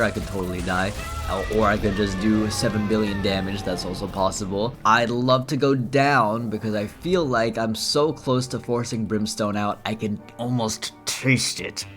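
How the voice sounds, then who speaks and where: A young man raised in England, casually commenting on his gameplay and telling chatty stories with frequent laughter. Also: medium pitch. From Philippines, raised in England. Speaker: gaming streamer.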